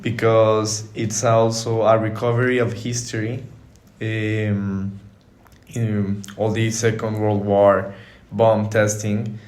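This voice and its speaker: Young Colombian-accented man, calm and even, slightly low voice. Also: slow. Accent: Colombian Spanish. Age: young adult. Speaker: architecture student guest. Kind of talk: casual podcast chat.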